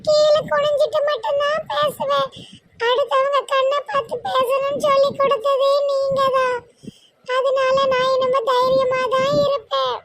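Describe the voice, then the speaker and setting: A performer voicing a young wife, a gentle but newly confident officer, speaking softly and earnestly. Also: female voice, extremely high pitch, little pitch movement, a little slow, full character voice. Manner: soft, earnest and reassuring.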